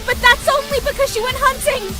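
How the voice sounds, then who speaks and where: A woman in an animated fan dub voicing a distraught warrior cat, frantic and fiercely defensive of her kin. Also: fairly quick. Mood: frantic, outraged. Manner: shrill, repetitive, frantic shouting. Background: music.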